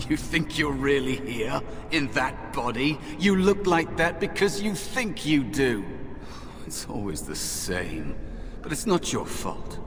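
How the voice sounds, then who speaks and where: An actor playing a wary, bitter spirit of a dead apprentice in a fantasy video game, speaking in a hushed, deliberate, weary way. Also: male voice, mid-range voice, sing-song. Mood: wry, weary. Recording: long reverb, loud background noise.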